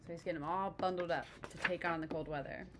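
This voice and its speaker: A woman reading a picture book aloud expressively, pausing to explain things and check in with young listeners. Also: lowish voice, fairly even intonation, noisy background. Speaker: read-aloud storyteller.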